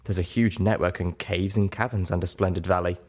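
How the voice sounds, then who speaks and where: A man's voice: low-pitched, fairly even intonation, a little fast. A man voicing a knowledgeable female pony guide in a storybook reading, explaining things in a matter-of-fact way.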